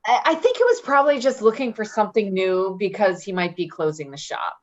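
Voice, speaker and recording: A woman's voice: mid-range voice, lively intonation, brisk, natural voice. A woman playing a breezy, evasive, self-serving friend in an offhand, casual play reading. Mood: falsely consoling. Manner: offhand, giggly, hedging. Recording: dull lo-fi recording.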